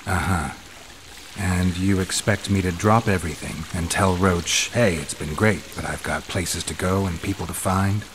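Actor as deep gravelly stoic monster hunter. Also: male voice, moderate pace, noisy background, lightly acted. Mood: resolute, guarded. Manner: terse, deadpan. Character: stoic, brooding male monster hunter, middle-aged. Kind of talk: video game acting.

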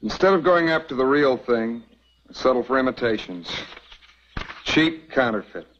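A man's voice: medium pitch, lively intonation, normal speed. An actor playing a cynical young male settler in a 1950s western TV drama, talking bitterly and then earnestly. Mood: confessional. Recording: dull old TV soundtrack with loud music.

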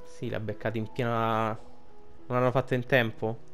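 A man chats casually through a game playthrough. His voice sits at an ordinary middle pitch, and he swears freely in loose, colloquial speech. Faint game music plays behind him. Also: level tone, a little slow, a bit bright. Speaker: video game streamer. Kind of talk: casual game commentary with reading dialogue aloud. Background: video game music.

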